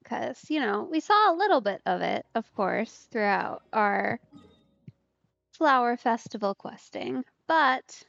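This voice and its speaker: A woman's voice: a little high, expressive, slow pace, vocal fry, no room sound. A woman giving casual live commentary as she plays a game, close on the mic with slightly muffled sound. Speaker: game streamer. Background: soft game music.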